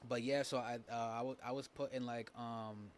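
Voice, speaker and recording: A young man from Massachusetts whose first language is Spanish, a podcast guest talking casually and haltingly, with lots of fillers and restarts. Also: mid-range voice, flat delivery, a little hiss or hum. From New Bedford, Massachusetts. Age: young adult.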